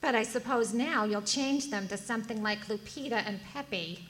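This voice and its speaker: A woman's voice: on the high side, moderate pace, a bit bright, natural voice. A woman playing a prim, indignant older busybody in a radio drama, haughty and disapproving. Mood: snide, brisk. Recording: noisy live stage recording.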